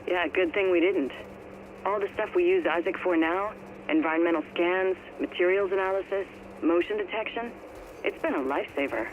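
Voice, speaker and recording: Game role: male actor as candid field agent, high voice, relaxed shop talk, tinny audio log with faint noise. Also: normal speed, natural voice. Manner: casual, candid. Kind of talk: casual conversational acting in a video game audio log. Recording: tinny, slightly noisy recorded audio log.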